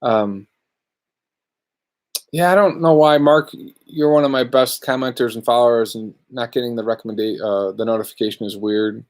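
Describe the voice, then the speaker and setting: A man's voice: medium pitch, normal speed. A man hosting a live luthier Q&A from his workshop, chatting casually and rambling through viewer questions. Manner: laid-back, rambling, answers viewer questions. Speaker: luthier podcast host.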